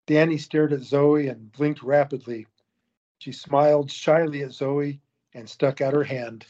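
A man giving steady scripted narration for an audio drama. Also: fairly high pitch, unhurried. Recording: dull lo-fi recording.

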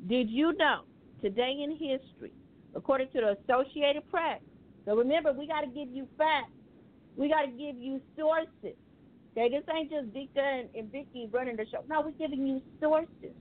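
A woman's voice: high-pitched, moderate pace. A woman hosting a casual radio talk show, loose and joking as she steers the segments. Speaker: radio host. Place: radio studio. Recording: tinny phone-like radio audio.

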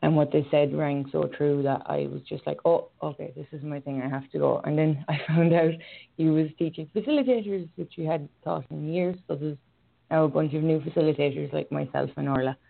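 Irish woman host, hesitant and filler-heavy, gravelly monotone, over a tinny call. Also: low voice, fairly quick. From Ireland. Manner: hesitant, many fillers and restarts. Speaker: radio show host and horse rider. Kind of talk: casual online radio chat. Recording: phone-line call audio.

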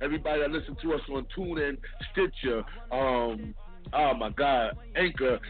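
A man hosting a radio show, giving casual shout-outs and plugs in an informal way with repeated catchphrases. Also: medium pitch, moderate pace. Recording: tinny phone-like radio audio, faint music.

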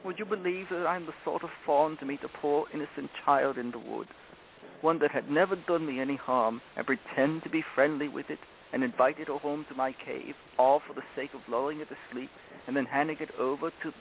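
A man's voice: on the high side, lightly acted. Man voicing a tearful, guilt-ridden fawn, tinny audio. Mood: guilty confessing. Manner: plaintive, sobbing, drawn-out confessing. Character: guilty, tearful, remorseful male fawn with horns. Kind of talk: storybook reading in character. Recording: tinny phone-like audio with loud noise.

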